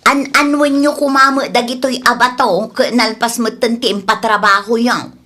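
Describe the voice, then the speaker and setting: A woman's voice: a little high, steady tone, moderate pace, bright, forceful tone. A woman playing a stubborn, grabby village housewife who argues back in whining retorts, in a comedic radio drama. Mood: insistent.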